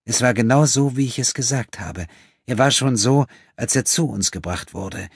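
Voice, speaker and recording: An audiobook nun, prim and righteous, played by a male reader. The voice is pitched well above his own and a little breathy, with a pointed, defensive edge. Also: normal speed, no echo, clean studio sound. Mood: indignant, defensive. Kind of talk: audiobook character acting.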